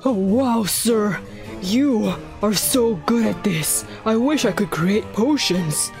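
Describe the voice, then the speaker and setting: A woman's voice: mid-range voice, expressive, takes it slowly. A woman voicing an eager, forgetful male assistant to a scientist in a game roleplay. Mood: admiring. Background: music.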